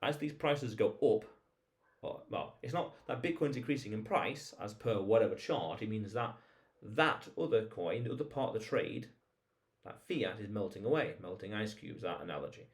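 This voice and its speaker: A man's voice: fairly low pitch, lively intonation, moderate pace. A man hosting a vlog, talking informally to viewers in an explainer monologue, emphatic, repeating phrases and posing rhetorical questions. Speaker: vlog host.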